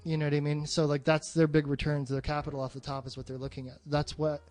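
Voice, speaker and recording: A man's voice: fairly high pitch, little pitch movement, quick pace, darkish tone, soft background music. A man chatting casually about film as a podcast co-host, blunt and freely swearing.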